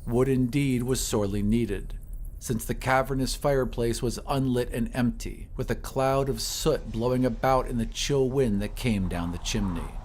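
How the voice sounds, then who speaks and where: A man reading a scripted horror story in a measured, literary storytelling manner. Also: mid-range voice, darkish tone, a little hiss or hum. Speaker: audiobook narrator. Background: ambient sound effects.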